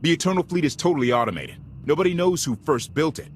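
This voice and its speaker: A man's voice: a little high, expressive, fast talker, a little hiss or hum, lightly acted. A man playing a brash, enthusiastic rebel pilot in a sci-fi game, talking casually with wry earnestness. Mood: wry, upbeat.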